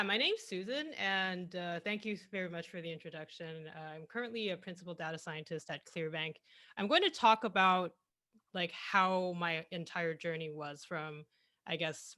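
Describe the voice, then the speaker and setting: Bright, chatty young woman on a call. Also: fairly low pitch, no echo. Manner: chatty, self-correcting, laughs often. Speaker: guest speaker. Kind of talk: presenting over a video call. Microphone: headset on a video call.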